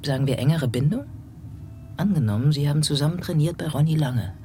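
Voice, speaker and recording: A man's voice: a little high, brisk, dark tone, slight background noise, close to an everyday voice. An actor playing a sardonic male police detective in a radio drama, probing with dry irony. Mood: sly insinuation. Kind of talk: German radio drama dialogue.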